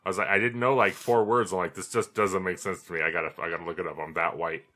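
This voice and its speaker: A man's voice: low voice, steady tone, fast talker, bright, forceful tone, a little hiss or hum. A man co-hosting, talking in casual, slangy chat.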